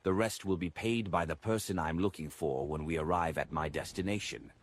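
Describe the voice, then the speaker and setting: A man's voice: low-pitched, a little fast, dry recording. A man voicing an anxious, stingy chubby apothecary who speaks hesitantly in an audiobook. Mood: uneasy, bargaining. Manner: hesitant, unsure.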